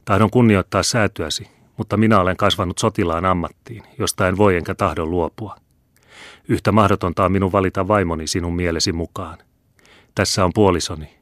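A man's voice: low-pitched, steady tone, fairly bright tone, no echo, studio quality. A man voicing a proud young officer who speaks to his father earnestly and firmly.

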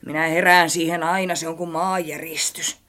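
A man's voice: a little high, flat delivery, sharp tone, voice pushed up. A man voicing a talking cookbook, a pompous, theatrical gourmet snob who is easily offended, in an audiobook story. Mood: grumbling, resigned.

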